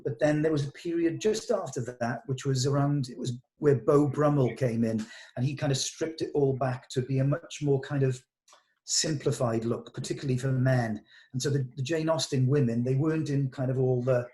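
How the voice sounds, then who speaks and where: A man speaks in a casual meeting. His voice sits at an average pitch, and he talks like an organiser working through plans with colleagues. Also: dark tone, rough recording.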